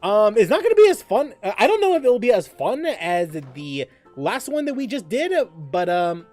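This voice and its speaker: A man with a high-pitched voice talks casually as he streams a game. His delivery is halting, with phrases often repeated or started over. Music plays behind him. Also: sing-song, darkish tone. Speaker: livestream host, gamer. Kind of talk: casual livestream chat while gaming.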